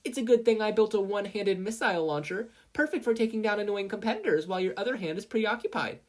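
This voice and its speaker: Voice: mid-range voice, fast talker, pitched above the performer's own voice, natural voice. A narrator voicing a brash, boastful teenage girl inventor in a showy, salesy manner for a fan fiction reading. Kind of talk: podfic character voice.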